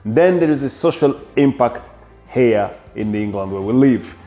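A middle-aged man with a Rwandan accent giving an interview to camera, passionate and sometimes halting, building on repeated phrases. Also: medium pitch, expressive, warm tone, soft background music. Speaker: coffee company co-founder. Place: indoor coffee shop.

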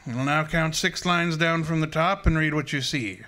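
A man's voice: fairly high pitch, normal speed, bright, forceful tone. A man playing an imperious, erudite master detective in a radio drama, speaking in a formal, precise and pompous way. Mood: imperious, accusing. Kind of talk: old-time detective radio drama acting.

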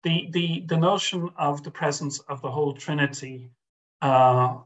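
A man's voice: fairly high pitch, highs cut off. Hesitant older man on a video call. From Ireland. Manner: hesitant, many restarts and fillers, slow and thoughtful. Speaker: guest scholar. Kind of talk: interview over video call. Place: home study with bookshelves. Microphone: webcam on video call.

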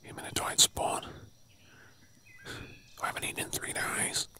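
An Australian man playing a weary, protective survivor of a zombie apocalypse in a whispered ASMR role play, hushed, urgent and coaxing. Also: measured pace, lightly acted. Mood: weary, resolute.

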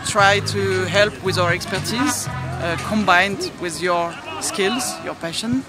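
A woman's voice: mid-range voice, takes it slowly. A middle-aged woman who manages football academies, answering questions in an outdoor media interview. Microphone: handheld reporter microphone. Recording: loud crowd and music behind.

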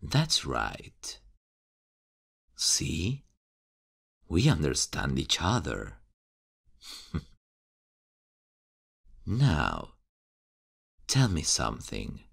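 A man playing a menacing, mocking male serial killer in an ASMR horror roleplay, taunting and chuckling in a hushed voice. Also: extremely low pitch, huge pitch swings, drawn-out words, gravelly, long pauses, slightly rough, exaggerated voice.

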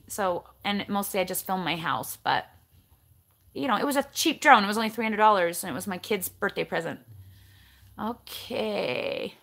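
A woman in her forties with a raspy voice, chatting casually to the camera as she answers viewer comments and rants a little, recorded up close in her bedroom. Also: medium pitch. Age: 40s. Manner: animated, ranty. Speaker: vlogger. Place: bedroom at home, indoors. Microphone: camera close in front.

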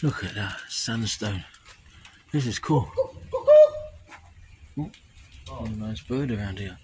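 A man's voice: lowish voice, slow pace. Man, noisy handheld recording. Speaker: vlogger, urban explorer. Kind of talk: casual exploration vlog. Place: outdoors on streets and woods, later inside a tunnel. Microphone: handheld camera or phone at arm's length.

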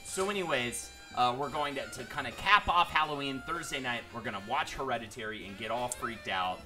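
A man in his thirties with a loud, projecting voice, hosting a casual livestream chat and rambling animatedly at a boom mic in a small room. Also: a little fast, soft background music. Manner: rambling, animated, reads viewer comments aloud. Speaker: podcast host. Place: small dim room indoors. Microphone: studio mic on boom arm.

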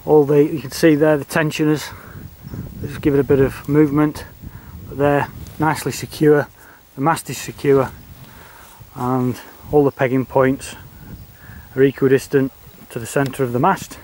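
A man's voice: mid-range voice, flat delivery, moderate pace, low-quality recording. A middle-aged man giving a casual how-to demonstration to camera outdoors, picked up by a camera microphone. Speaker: bushcraft and radio hobbyist presenter. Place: open grassy moorland outdoors. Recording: loud wind noise on outdoor microphone. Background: wind noise.

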